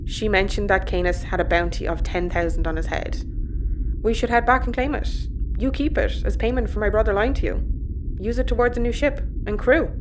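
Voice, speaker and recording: A woman's voice: mid-range voice, fairly quick, close to an everyday voice. A young female space adventurer voiced by an actress, practical and supportive. Her mid-range voice is plainspoken and casual with a wry edge, set in an audio drama scene over faint noise. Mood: concerned.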